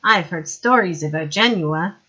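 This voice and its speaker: A woman's voice: fairly low pitch, measured pace, bright, forceful tone, muffled recording. A woman voicing a paranoid, boastful and unpleasant male ruler in an audiobook story. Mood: suspicious, boastful.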